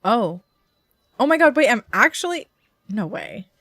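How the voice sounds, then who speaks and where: A woman's voice: a little high, big pitch swings, a little slow, fairly bright tone. A woman livestreaming a game, reacting casually and expressively, often muttering to herself. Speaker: live streamer.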